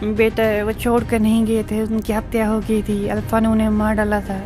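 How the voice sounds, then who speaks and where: A woman's voice: a little high, monotone, normal speed, music in the background, natural voice. A woman playing a worried, protective widowed mother who pleads in a melodramatic story. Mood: sorrowful, tender. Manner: anxious, pleading.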